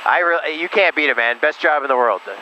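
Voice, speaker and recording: A man's voice: on the high side, fast talker. A man chatting in an easygoing, folksy way as an interview guest over an aviation headset in a small plane. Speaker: air traffic controller guest flying the plane. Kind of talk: casual interview chat. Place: small airplane cockpit in flight. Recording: muffled headset audio in loud engine noise.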